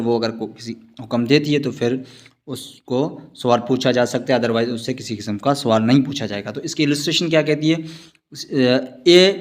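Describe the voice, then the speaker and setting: Male lecturer, Pakistani accent, mid-range voice, explains and rephrases for students. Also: mid-range voice. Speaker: law lecturer. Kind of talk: explanatory online lecture with read-out passages.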